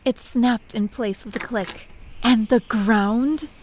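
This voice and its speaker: A woman's voice: a little high, slow pace, slight background noise. A woman playing an eager, naive young adventurer who narrates her own story in an audio drama. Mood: narrating. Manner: animated, chatty, naive. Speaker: lead character and narrator.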